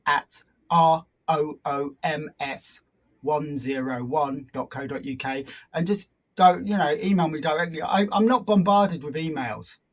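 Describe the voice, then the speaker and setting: A man's voice: on the high side, recorded from a distance. A man chatting casually as an interview guest, his voice picked up a little off-mic. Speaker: podcast guest, voice actor and film producer.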